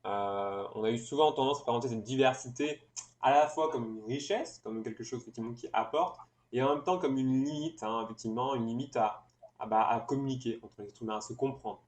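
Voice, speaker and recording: A man hosting an interview, putting long, reflective questions in a measured, wordy way. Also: medium pitch. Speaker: interviewer, host. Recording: echoey room.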